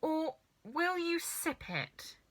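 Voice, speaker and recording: A woman's voice: high voice, very animated, extremely slow, voice pushed up, full character voice. A woman voicing an eager, polite, slightly cheeky young boy in a children's storybook. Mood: polite, questioning.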